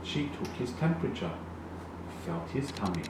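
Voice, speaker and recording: Echoey, animated man reading a children's story. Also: medium pitch. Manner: deliberate, animated storytelling with emphasized sound words. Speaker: storybook reader. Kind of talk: expressive children's read-along narration. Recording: very echoey, distant, noisy room.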